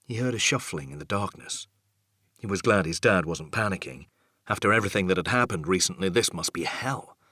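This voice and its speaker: Deep, husky male audiobook narrator. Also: lively intonation, brisk, sharp tone, no echo, clean studio sound. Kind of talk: scripted dramatic narration of a dark thriller.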